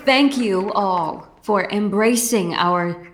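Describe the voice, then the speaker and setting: A woman playing an effusive, theatrical Broadway director giving a gushing, grandiose speech with dramatic pauses. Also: medium pitch, close to an everyday voice. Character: effusive, theatrical female Broadway director addressing an opening-night crowd. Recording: echoey stage microphone with noisy crowd.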